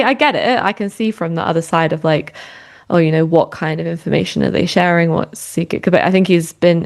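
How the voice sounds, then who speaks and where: Low-voiced woman co-hosting sports talk. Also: a little mellow. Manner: thoughtful, hedging with "I don't know". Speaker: podcast co-host.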